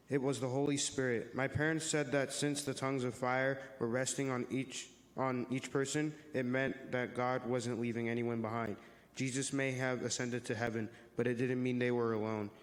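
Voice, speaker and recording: In a reverberant church hall, a young man plays a friendly teenage boy with a medium-pitched voice. He reads his explaining part in a reader's theater. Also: steady tone, normal speed, natural voice. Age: teenager or young adult.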